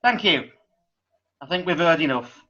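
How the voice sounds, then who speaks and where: An actor playing a stern magistrate with a pompous manner. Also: male voice, fairly high pitch, expressive, unhurried, sharp tone, dull audio. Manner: pompous, pronouncing sentence. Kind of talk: amateur audio drama acting.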